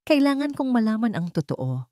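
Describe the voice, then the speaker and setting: A woman's voice: fairly high pitch, big pitch swings, normal speed, dark tone, dry recording, studio quality, pitched above the performer's own voice. A woman voicing a troubled, secretive, resolute husband in dramatic story dialogue. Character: troubled, secretive adult billionaire husband, guilt-ridden and resolute.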